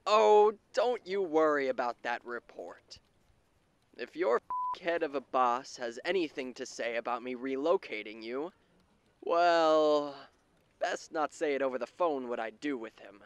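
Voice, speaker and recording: A man's voice: medium pitch, lively intonation, measured pace, clean tone, no echo. A man playing a smug, possessive demon boss in an ASMR roleplay, theatrical, slick and falsely polite. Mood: smug, coaxing.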